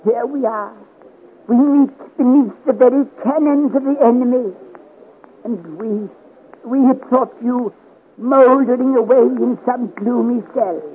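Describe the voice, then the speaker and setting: A high-pitched, effusive little gallant, boastful yet warm-hearted. He speaks unhurriedly and theatrically. The sound is a thin, noisy 1950s radio serial recording. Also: unhurried. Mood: delighted. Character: small dapper soldier, boastful, hot-tempered, warm-hearted gallant. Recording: noisy, narrow-band vintage radio recording.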